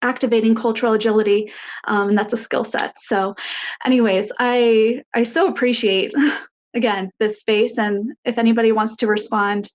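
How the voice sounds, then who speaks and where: A woman sharing earnestly in a group discussion in a halting, reflective way, as a facilitator. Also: a little high, level tone, muffled recording, slight background noise. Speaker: workshop facilitator and author.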